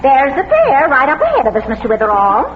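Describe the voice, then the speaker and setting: Radio drama role: homespun small-town busybody, very animated, noisy old recording. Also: female voice, sits high, a little fast, highs cut off. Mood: chatty, gossipy. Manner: gossipy, homespun. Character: chatty, folksy small-town gossip.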